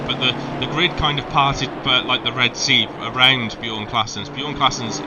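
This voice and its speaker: A man with a Welsh accent giving live sim racing commentary, hesitant with many ums and restarts. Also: medium pitch. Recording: loud background noise.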